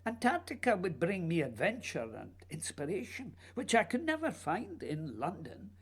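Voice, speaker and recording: An actor plays a restless man of letters in a radio play, earnest and needy. His husky voice swings widely in pitch as he pleads in theatrical, literary phrases. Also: wildly swooping pitch, normal speed, exaggerated voice. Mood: restless, brooding. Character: earnest, restless male writer, needy and intense.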